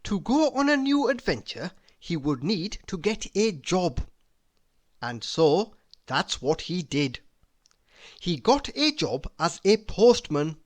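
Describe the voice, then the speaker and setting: A storybook reader narrating for children in a deliberate, expressive way, drawing out key words for emphasis. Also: mid-range voice.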